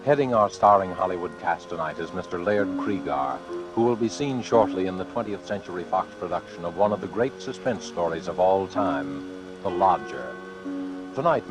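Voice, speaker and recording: A man announcing a 1940s radio suspense show in a formal, measured, ominous manner. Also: deep voice, music in the background, rough recording. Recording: vintage 1940s radio recording.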